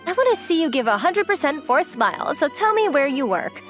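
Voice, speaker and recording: Young female model, anime dub, played by a woman: breezy, teasing, forward, on the high side with big animated swoops. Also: a little fast. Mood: playful, inquisitive. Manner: forward, playful, casually inquisitive. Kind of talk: anime-style dub acting. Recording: music behind the voice.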